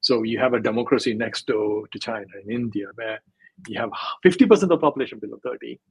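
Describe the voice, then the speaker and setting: Guy w gravelly husky voice rambling through an interview, lots of you knows. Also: mid-range voice, quick pace, no echo, low-quality recording. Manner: digressive, filler-heavy, emphatic. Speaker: guest, crypto scientist. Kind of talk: casual interview talk.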